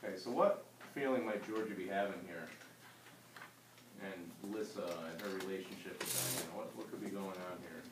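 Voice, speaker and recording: A man's voice: deep voice, normal speed. A man teaching a class, reading a story aloud and putting questions to his pupils. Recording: roomy distant mic with background noise.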